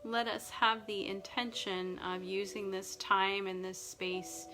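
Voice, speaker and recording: Woman meditation guide, slow soft soothing delivery, ocean waves and music behind. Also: medium pitch, steady tone. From Nova Scotia. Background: music and ocean wave sounds.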